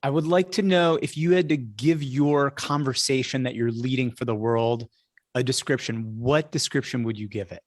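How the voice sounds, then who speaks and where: A man with a medium-pitched voice hosts an interview. He speaks conversationally, as someone addressing his guests directly. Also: fairly even intonation, normal speed. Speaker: interview host.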